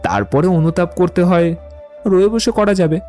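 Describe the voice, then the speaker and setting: Guy acting as witty young romantic poet type, super animated, declaims playfully, music under it. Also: sits high, normal speed. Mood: playful bravado. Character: witty, poetic, theatrical young romantic man. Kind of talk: dramatised audiobook dialogue acting.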